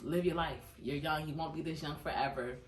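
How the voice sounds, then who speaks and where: Young woman, low voice, reflective casual talk, distant roomy mic, faint hiss. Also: fairly even intonation, fairly quick. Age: 20s. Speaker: interviewee.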